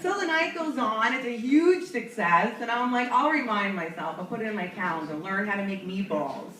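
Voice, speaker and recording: A woman's voice: fairly high pitch, normal speed, bright, forceful tone. A young woman from New York doing stand-up, telling a self-mocking story in a chatty way and laughing through her punchlines. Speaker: stand-up comedian. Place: comedy club stage. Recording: roomy club, mic from a distance.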